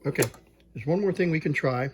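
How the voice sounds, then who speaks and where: A man's voice: on the high side, a little hiss or hum. A man walking through a hands-on repair, talking plainly and thinking aloud as he works. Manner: slow, plain-spoken, thinking aloud while working. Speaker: repair technician.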